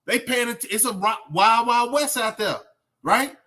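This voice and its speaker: A man with a high, bright, forceful voice and a Southern US accent. He talks like a streamer reacting to a clip, casual and colloquial. He pushes his points with emphasis and rhetorical questions. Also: a little slow. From southern United States. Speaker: YouTube reaction host.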